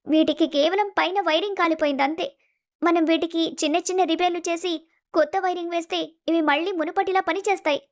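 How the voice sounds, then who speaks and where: A woman acts out a determined young daughter in a family story. She speaks very quickly in a high voice, with eager emphasis. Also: steady tone. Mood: excited, confident. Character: determined, encouraging young daughter of mill owner. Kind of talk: dramatized moral story acting.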